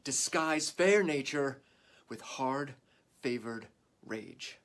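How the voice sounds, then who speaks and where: An actor playing a warrior English king rousing his troops in a declamatory, deliberate Shakespearean stage monologue. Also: mid-range voice, expressive. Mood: fierce, urging. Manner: declamatory, deliberate, swinging from hushed to shouted.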